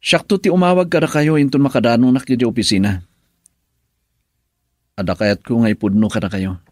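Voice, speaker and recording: A man's voice: mid-range voice, fairly quick, no echo, studio quality, lightly acted. An actor playing an injured husband earnestly pleading with his mother, in Ilocano radio drama style with an Ilocano accent. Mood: earnest explaining.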